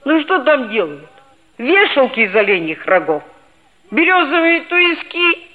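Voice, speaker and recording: A woman's voice: sits high, big pitch swings, unhurried, narrow, tinny audio. An actress playing an earnest, proud seventh-grade schoolboy who narrates his own story in a 1960s radio play. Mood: earnest, musing. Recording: thin band-limited old radio recording, music behind.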